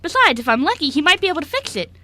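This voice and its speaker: A woman's voice: high voice, big pitch swings, racing, sharp tone, heavily acted. A woman voicing a clumsy, anxious young male dog-boy servant in an amateur radio play, apologetic, polite and easily flustered. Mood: sheepish, polite. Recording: amateur radio show recording.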